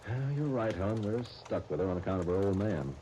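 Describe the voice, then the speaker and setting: An actor playing a blunt, grudging young shop partner in a 1930s radio soap opera, wry and grumbling, with hesitant starts. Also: male voice, deep voice, expressive, fairly quick, warm tone. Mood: resigned. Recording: muffled, hissy 1939 radio broadcast recording.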